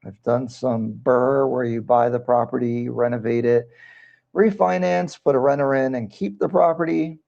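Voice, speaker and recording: A man hosts a relaxed livestream and chats in a rambling way. His voice is gravelly and mellow. The sound is dull and lo-fi. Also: medium pitch, normal speed. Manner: rambling, conversational, reads viewer comments aloud. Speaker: livestream host, real estate broker. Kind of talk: casual livestream chat.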